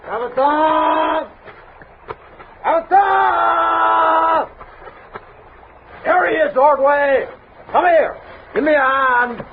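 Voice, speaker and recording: Excitable frontier soldier, super high voice, drags his words out, muffled noisy old radio sound. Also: very slow, gravelly, long pauses, heavily acted. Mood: alarmed shouting. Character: hard-riding, excitable frontier soldier of an expedition. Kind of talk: 1960s radio western acting.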